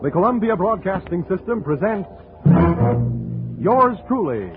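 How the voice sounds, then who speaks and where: Animated American announcer on tinny old radio. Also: male voice, high voice, unhurried. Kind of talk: 1950s radio show introduction, scripted. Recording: tinny vintage radio broadcast, loud music. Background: loud music.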